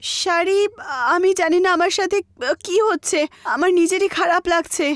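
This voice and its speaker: Woman acting a high-pitched distressed young village wife. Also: flat delivery, moderate pace, a bit bright, no room sound, clean studio sound. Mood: bewildered, upset. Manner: pleading, emphatic.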